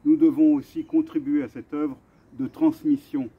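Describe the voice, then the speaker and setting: A man hosting an earnest commemorative address to camera, speaking deliberately with halting pauses and repetitions. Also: a little high, little pitch movement, breathy edge. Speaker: video host and association vice-president. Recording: dull, muffled lo-fi sound.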